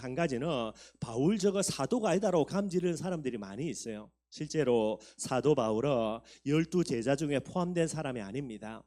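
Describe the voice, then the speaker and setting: A man's voice: mid-range voice, darkish tone. A man in his fifties, a pastor preaching a sermon, talking earnestly to the congregation and building up for emphasis. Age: 50s.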